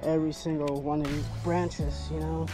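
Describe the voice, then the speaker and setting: A man's voice: on the high side, flat delivery, moderate pace. A young man vlogging while kayak fishing on a windy lake, talking casually and rambling with fillers. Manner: laid-back, rambling, many fillers. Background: background music, wind and water.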